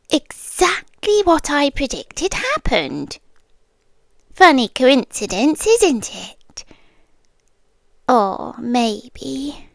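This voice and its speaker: A woman's voice: high voice, no echo, heavily acted. A British woman playing a possessive yandere girlfriend in an intimate ASMR roleplay, speaking with coolly patient, condescending menace and dropping toward whispers. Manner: slow, deliberate, dropping into whispers, condescending sweetness.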